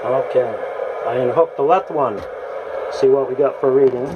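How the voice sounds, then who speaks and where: A man giving a casual, halting, folksy hands-on demonstration as a radio hobbyist, from the United States. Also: medium pitch, phone-quality sound. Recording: noisy home video with radio sound.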